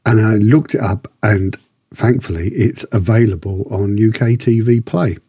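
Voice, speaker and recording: A man's voice: fairly low pitch, unhurried, dark tone, slightly rough, no echo. A man co-hosting a podcast, chatting casually and rambling a little, repeating words for emphasis. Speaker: podcast co-host. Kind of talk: casual review chat.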